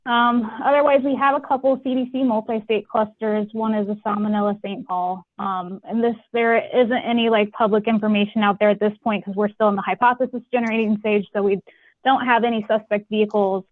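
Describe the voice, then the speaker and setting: A woman's voice: medium pitch, steady tone, fairly quick, no room sound. A woman speaks over a narrow, phone-like conference connection with very little room sound. She gives an informal update in a conversational, explanatory way. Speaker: public health epidemiologist. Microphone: conference call connection. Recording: muffled phone-line conference call audio.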